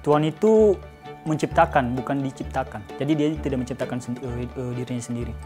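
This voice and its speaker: A man's voice: mid-range voice, brisk, a little mellow, soft background music. A man in his twenties giving casual answers as an interviewee. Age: 20s.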